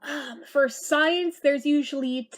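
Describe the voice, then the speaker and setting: A young woman from California with an American accent and a high-pitched voice talks in a chatty, informal way. A slight lisp shows in her speech as she gives advice straight to her viewers. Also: takes it slowly. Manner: chatty, informal, self-deprecating. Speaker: vlogger giving school advice. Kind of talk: casual advice talk to camera.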